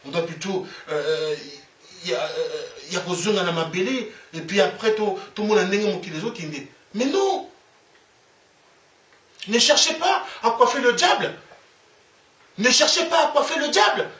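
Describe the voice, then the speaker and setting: A Congolese man with a Congolese French accent delivers a fervent, preachy monologue, hammering his points home by repeating them. His voice sits high for a man. He sounds set back from the mic in a room full of echo. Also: pushed and bright, distant mic. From Republic of the Congo. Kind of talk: impassioned monologue. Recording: echoey room, away from the mic.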